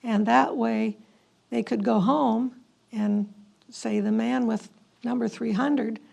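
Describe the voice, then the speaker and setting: Female history presenter, gravelly and fairly high-pitched, informal, slightly hesitant explanatory talk. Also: fairly even intonation, slow pace, darkish tone. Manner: hesitant, with frequent throat clearing. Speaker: presenter commenting on historical letters. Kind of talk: informal historical narration to an audience.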